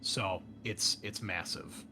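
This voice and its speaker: A man's voice: sits low, steady tone, slow, background noise. A man speaking as game master, explaining a tabletop roleplaying scenario in a conversational, improvised way. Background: background music.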